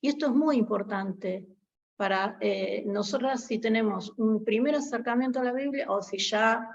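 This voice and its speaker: A woman giving an online theology class, speaking haltingly with many fillers. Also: a little high, gravelly, highs cut off. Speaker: guest lecturer.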